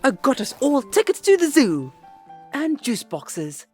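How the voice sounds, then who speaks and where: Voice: high voice, wildly swooping pitch, a little slow, slightly rough, soft background music, full character voice. An actor playing a polite, eager alien host with formal, precise phrasing in an audio drama. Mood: eager, pleased.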